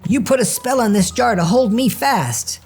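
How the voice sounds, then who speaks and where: Man playing a big greedy storybook goblin, high strained voice, wide swoops, wailing manner. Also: animated, moderate pace, a little mellow, soft background music. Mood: wailing, panicked. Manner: wailing, gluttonous. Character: big fat greedy goblin, loud complainer.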